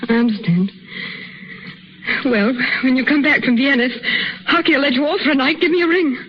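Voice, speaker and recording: A woman's voice: high-pitched, fairly quick, close to an everyday voice. An actress playing a devoted young woman in love, tender and pleading, in theatrical 1930s radio drama style. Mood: sad, brave. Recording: muffled, noisy 1930s radio recording.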